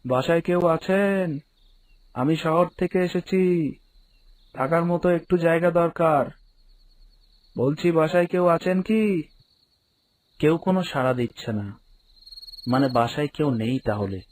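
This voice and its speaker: A man's voice: fairly high pitch, animated, unhurried, pauses often, a little mellow. A young man voicing a curious, uneasy villager returning home in a horror cartoon, musing aloud as he tells his own story. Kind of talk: cartoon horror narration and dialogue.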